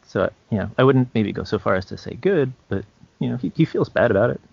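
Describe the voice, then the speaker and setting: A man's voice: on the low side, lively intonation, fast, gravelly, darkish tone, husky, no room sound, lo-fi sound. A man co-hosting a podcast in easygoing, casual chat. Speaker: podcast co-host.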